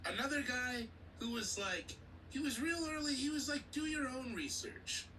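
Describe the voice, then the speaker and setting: A man voicing a hyped male cartoon toucan mascot in a high, excitable, preachy tone, recorded from a distant mic. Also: moderate pace, exaggerated voice. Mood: excited. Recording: distant mic, background noise.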